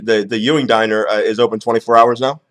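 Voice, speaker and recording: A man's voice: on the low side, steady tone, fast talker, fairly bright tone, no room sound. A man with an American accent hosts an informal interview, asking rambling, casual questions and repeating words as he goes. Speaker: talk show host, interviewer.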